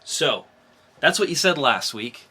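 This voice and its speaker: A man talks casually as a podcast host. His voice is bright and forceful, sitting at a medium pitch. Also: very animated, measured pace, husky.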